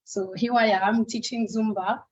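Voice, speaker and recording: A woman with a French accent and a husky voice introduces herself in an online meeting. She speaks hesitantly, with many pauses and fillers. The audio is dull and muffled, as over a video call. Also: on the high side, steady tone. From France. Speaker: Zumba instructor.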